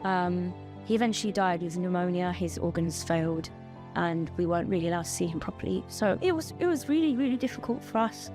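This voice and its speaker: A woman in her thirties, born in Bangladesh with Bengali as her first language, speaking as a podcast guest in an earnest, measured way and telling her story in long lists. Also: on the low side, music in the background. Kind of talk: personal testimony in an interview.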